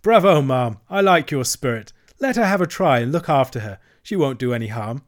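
Man doing a hearty gentleman motorist. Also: mid-range voice, animated, fairly quick, natural voice.

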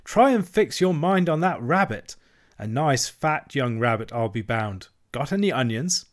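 A man's voice: medium pitch, sing-song, unhurried, voice pushed up. A man voicing a boastful male toad disguised as a washerwoman, in a raised put-on voice, airy and bragging. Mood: nervous. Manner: airy, bragging, then nervous.

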